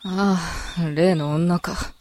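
A woman's voice: on the low side, fairly even intonation, slow, darkish tone, slight background noise. A woman playing a proud, resolute werewolf woman who speaks bluntly and coolly in a Japanese amateur voice drama. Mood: curt. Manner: blunt, masculine phrasing, cool.

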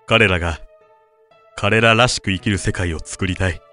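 A man acting as a grave researcher and father, narrating his private journal in an audio drama. His voice sits on the low side, solemn and measured, with music playing behind it. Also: bright, forceful tone. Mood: grave, uneasy. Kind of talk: dramatic narration of diary entries.

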